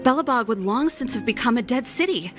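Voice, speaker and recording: A woman's voice: on the high side, lively intonation, fairly quick, natural voice. A woman playing a friendly, easygoing young mechanic in a video game, breezy and explanatory, with a joking touch. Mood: informative, assured. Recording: loud music behind the voice.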